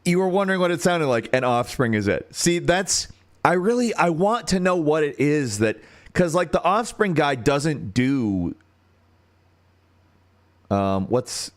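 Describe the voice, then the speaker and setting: A man with a deep radio-style voice and an American accent, hosting a casual livestream and thinking out loud as he searches for words. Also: sing-song, unhurried. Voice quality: turns nasal when singing high.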